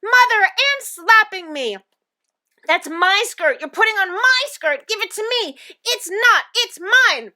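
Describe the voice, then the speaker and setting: Bratty storybook child, played by a woman: high, shrill, whiny, quarrelsome. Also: lively intonation, slow pace, sharp tone, exaggerated voice. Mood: indignant squabbling. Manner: shrill squabbling. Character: bickering young twin sisters, bossy and whiny.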